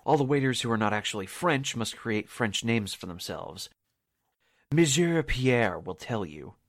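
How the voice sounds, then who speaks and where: A man playing a nervous, deferential waiter who poses as a Frenchman, halting, in 1940s radio drama style. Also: mid-range voice, lively intonation, no room sound, clean studio sound. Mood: sheepish.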